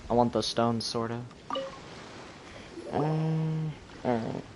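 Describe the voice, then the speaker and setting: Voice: mid-range voice, slow pace, background noise. A game streamer giving casual live commentary, reacting offhand in short asides.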